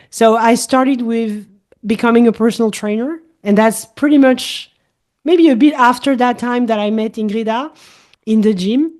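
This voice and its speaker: A woman's voice, a little higher than most, speaking English with a clear French accent. She talks as a middle-aged holistic coach in a relaxed interview and explains her views thoughtfully. She often comes back to the same point in slightly different words. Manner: thoughtful, explanatory, repeats key phrases. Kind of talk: casual interview chat.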